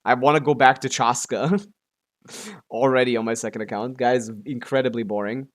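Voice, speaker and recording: A man's voice: mid-range voice, normal speed. A man chatting casually as he livestreams a video game, rambling and complaining with plenty of swearing. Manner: profane, complaining. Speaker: live streamer playing a video game. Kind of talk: casual rambling gaming chat with viewers.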